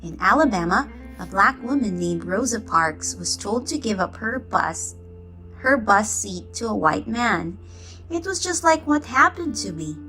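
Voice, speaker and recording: A woman's voice: mid-range voice, expressive, a little slow, pushed and bright, faint music behind, poor audio. A woman reading a children's storybook aloud, expressively acting out the dialogue. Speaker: storybook reader.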